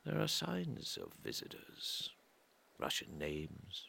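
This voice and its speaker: A man with an extremely low, gravel-filled voice narrates a literary story. He lingers between short phrases, often trailing into breath, and his pitch swings very widely. Faint noise and ambience sit underneath. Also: wildly swooping pitch, slow, pushed and bright. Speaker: reader of a literary audio story. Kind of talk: slow atmospheric literary narration.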